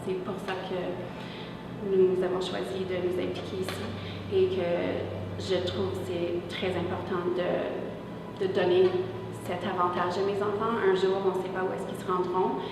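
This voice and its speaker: A middle-aged woman, a teacher and parent, talks earnestly in an interview testimonial, pausing and restarting often. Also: fairly low pitch, level tone. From Winnipeg, Manitoba, Canada. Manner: earnest, with many pauses and restarts. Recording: echoey room, mic far off, noise behind.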